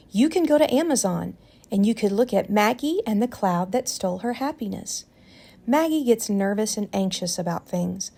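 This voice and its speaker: A woman hosting a storytime, reading aloud to children in a deliberate, expressive way. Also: fairly high pitch. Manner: deliberate, animated.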